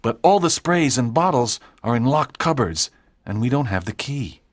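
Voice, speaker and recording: A woman's voice: a bass voice, lively intonation, normal speed, pitched below the performer's own voice. A woman voicing Walter, an eager and thoughtful schoolboy, in an audiobook reading.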